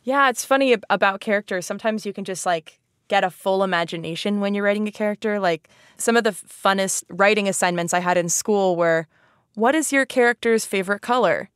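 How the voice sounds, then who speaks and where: Woman, podcast host, casual and chatty, playful, self-deprecating, lots of "like", mid pitch, dry sound. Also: a little fast, fairly bright tone, clear, resonant tone, dry recording.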